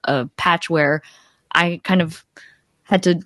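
A middle-aged woman with a fairly low voice speaks as a guest in a casual interview. She tells things like a storyteller, quoting her own thoughts. The sound is close and dry, on a desktop podcast mic. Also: steady tone, slow pace, fairly bright tone, dry recording. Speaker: podcast guest, accountability coach. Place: small home room, indoors, video call. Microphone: close desktop podcast microphone.

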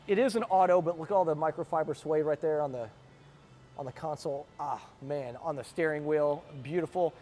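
A man's voice: fairly high pitch, brisk, dark tone, slight background noise. A man giving an enthusiastic, chatty walkaround commentary as a car vlogger inside a warehouse, filmed on a handheld camera.

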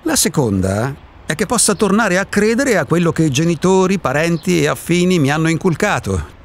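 A man's voice: a little high, sing-song, moderate pace. An actor playing an elderly reclusive male ghost in a radio drama, a wry and contrarian philosopher with a theatrical, emphatic delivery. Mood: stubborn.

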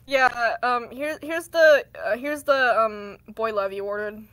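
A woman improvising a goofy cartoon fandub, rambling and halting as she goes. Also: on the high side, fairly bright tone. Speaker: amateur fandub voice performer. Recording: noisy amateur mic with clicks.

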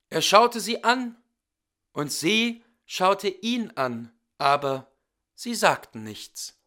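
A man reading a fairy tale aloud as a storyteller, with deliberate, theatrical and expressive delivery. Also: high voice.

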